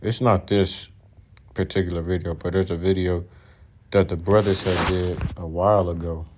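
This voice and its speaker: A man with a very deep voice talks casually about video clips he has been showing. His pitch barely moves and stays low throughout. He sits some way back from the mic. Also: level tone, normal speed, darkish tone, slight background noise. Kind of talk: casual commentary.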